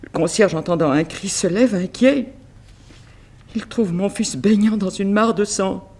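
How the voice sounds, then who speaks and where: A woman's voice: mid-range voice, unhurried, a little mellow. An actress playing a bereaved middle-aged mother who runs a fashion house, formal and sorrowful in 1960s French radio mystery style. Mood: distressed.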